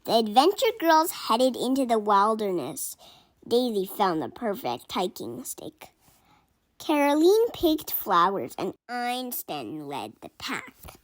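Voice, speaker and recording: A woman reads a children's picture book aloud in a fairly high voice. Her pitch moves a great deal, and she takes the story slowly, acting out the characters' lines expressively. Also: sing-song, low-quality recording.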